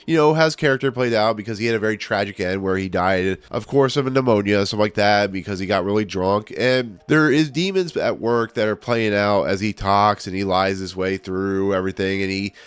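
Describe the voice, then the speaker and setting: A man's voice: on the low side, fairly quick, few pauses, smooth, no room sound. A man losing his voice, casually reviewing a film on his channel, rambling with lots of fillers and restarts. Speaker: movie reviewer, channel host.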